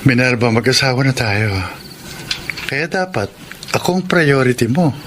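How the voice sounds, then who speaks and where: A man's voice: mid-range voice, animated, a little slow, a bit bright, a little hiss or hum. A man playing a needy, demanding young husband in a Filipino radio drama. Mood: frustrated, pleading.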